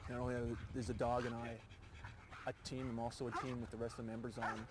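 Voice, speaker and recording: A man, a police dog handler, speaking in an interview, halting and repeating words. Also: medium pitch, flat delivery, very noisy.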